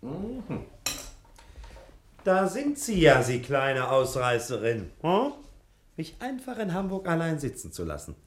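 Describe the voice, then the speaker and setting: Pompous, wildly animated private detective. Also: male voice, a little high, unhurried, pauses often. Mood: teasing, smug. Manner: pompous, theatrical, chuckling, talks of himself in third person. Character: self-important American male private detective, boastful and slyly condescending. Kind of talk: mid-century German radio drama acting.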